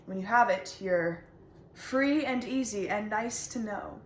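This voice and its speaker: Woman playing intense, reflective lover for a stage monologue: deliberate, weighted phrasing, mid pitch, echoey room. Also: a little hiss or hum, natural voice. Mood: reverent, intense. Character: intense lover reflecting on intimate knowledge and loss.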